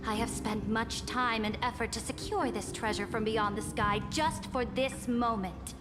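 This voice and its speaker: An actress playing an imperious, challenging woman of high standing in a game, with theatrical delivery. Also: moderate pace, close to an everyday voice. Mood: commanding. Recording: long reverb with music behind.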